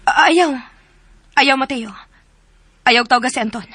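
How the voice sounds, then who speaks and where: A woman's voice: high-pitched, slow pace, bright, forceful tone, husky, no room sound, full character voice. An actress in a radio drama playing an earnest, resolute young woman. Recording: music under a dry studio voice.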